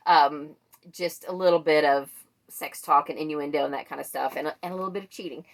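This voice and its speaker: A woman's voice: low voice, steady tone, fairly quick, a little hiss or hum. A woman book vlogger chatting casually and thinking aloud as she goes. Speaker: book vlogger presenting a monthly reading wrap-up. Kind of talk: casual chat to camera.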